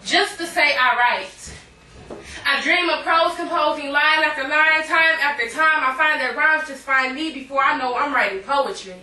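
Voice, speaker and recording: A woman's voice: high-pitched, moderate pace, sharp tone. A woman poet performing a live spoken-word reading of verse. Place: indoor cafe poetry night. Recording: echoey live room, distant microphone.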